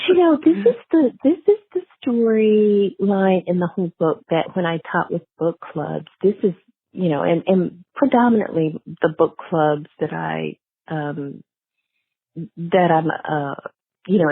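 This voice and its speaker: A woman with a low voice speaks as an author guest in an interview. She explains her points candidly and conversationally. The recording is dry and close. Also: measured pace, breathy edge, dry recording, narrow, tinny audio. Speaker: guest, novelist.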